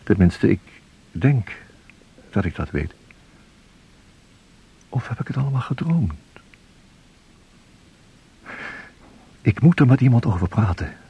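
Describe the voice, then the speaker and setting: An actor playing a troubled man muttering to himself about a secret in a radio drama, gravelly, breathy and halting. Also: fairly low pitch, very animated, dull audio, heavily acted. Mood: doubtful, agitated.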